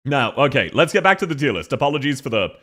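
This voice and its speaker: A young Australian man streaming live, chatting casually with his viewers. His voice sits at a middling pitch but jumps around a lot, and he talks in a playful, rambling way, always drifting off topic. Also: sing-song, quick pace, a bit bright. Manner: playful, laughs often, chatty and easily sidetracked.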